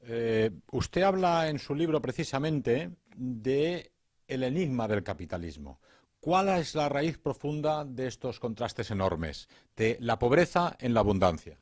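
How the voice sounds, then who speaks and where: A man's voice: mid-range voice, sing-song, unhurried, a little mellow. A man conducting a formal interview, introducing his guest and asking questions with frequent hesitant fillers.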